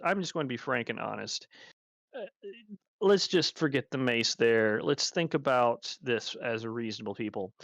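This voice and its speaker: A man's voice: mid-range voice, a bit bright, no echo. A man voicing a large, courteous ogre warrior who talks formally and reasonably. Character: polite large male ogre warrior, frank.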